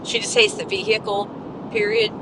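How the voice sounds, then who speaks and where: A hoarse middle-aged woman chatting casually with her viewers during a live stream while driving. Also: medium pitch, little pitch movement, normal speed. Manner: chatty, coughing between remarks. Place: inside a moving car. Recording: road noise from a moving car.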